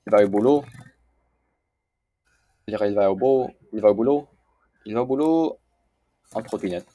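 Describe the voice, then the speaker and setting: A man's voice: fairly low pitch, normal speed, dark tone. A man casually commentating on on-screen lessons, thinking aloud in a mumbled aside manner. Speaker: host of a language-learning series. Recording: clearly noisy home recording.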